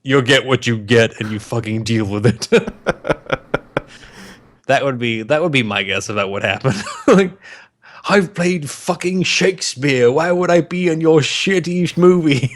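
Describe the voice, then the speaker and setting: Man, medium pitch, casual podcast banter, opinionated, mocking, swears freely, animated storyteller. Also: unhurried. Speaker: podcast co-host.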